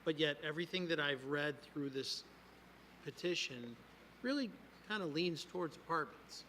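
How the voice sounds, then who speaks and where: Man, commissioner, questioning at a hearing, a bit high, faint background noise. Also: lively intonation, moderate pace, sharp tone, rough recording. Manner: probing, slightly hesitant questions. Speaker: planning commissioner. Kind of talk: questioning at a public meeting.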